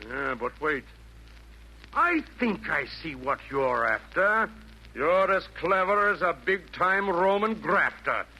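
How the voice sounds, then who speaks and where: A man's voice: sits high, bright, forceful tone, heavily acted. An actor playing a vain, scheming male villain from hell, delivering pompous, florid rhymed verse in theatrical 1940s radio style. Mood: smug, sly. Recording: noisy vintage 1940s radio broadcast.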